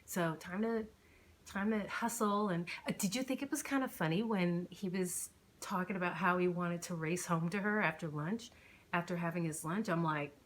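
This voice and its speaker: A woman's voice: on the low side, a little hiss or hum. A woman hosting her book channel as an author, chatting in an informal, enthusiastic way. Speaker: author reading own novel, channel host. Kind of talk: casual chatty channel intro.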